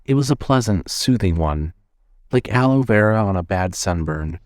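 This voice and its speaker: A man's voice: lowish voice, expressive, moderate pace, dry recording, clean studio sound. A man giving scripted narration as the narrator of a fiction podcast.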